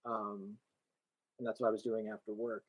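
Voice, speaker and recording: Hesitant man with a husky voice. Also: on the low side, little pitch movement, moderate pace, poor audio. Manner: hesitant, lots of fillers and restarts. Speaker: comic artist. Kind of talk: casual chat.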